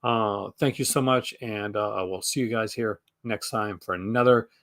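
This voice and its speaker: A young man hosting a casual live stream, rambling with lots of fillers and restarts, his voice going hoarse. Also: lowish voice, steady tone, moderate pace, a bit bright. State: losing his voice. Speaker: host.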